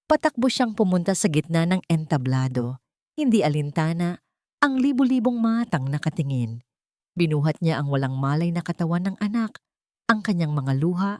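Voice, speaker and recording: A woman's voice: low voice, a little mellow, dry recording, studio quality. A woman narrating a scripted audio drama in a solemn, emotive storytelling manner.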